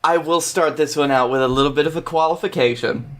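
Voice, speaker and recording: A man's voice: fairly high pitch, fairly quick. A man appearing as a podcast guest, talking casually and reading aloud.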